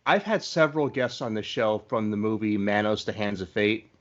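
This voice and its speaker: A man's voice: fairly low pitch, normal speed. A man hosting a podcast, chatting casually as he interviews a guest. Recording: dull, lo-fi audio.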